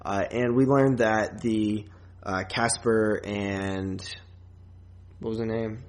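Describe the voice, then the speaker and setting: A man's voice: lowish voice, steady tone, slow, darkish tone. A man co-hosting a podcast, casually reviewing a film in a rambling, loose way with plenty of filler and some swearing. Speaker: podcast co-host.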